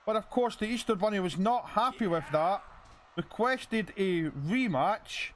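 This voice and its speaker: A man with a hoarse voice commentating a video game wrestling match and hosting his channel, with an announcer's style. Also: lively intonation, a little slow, a bit bright, a little hiss or hum. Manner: hype announcer, booming when calling the finish.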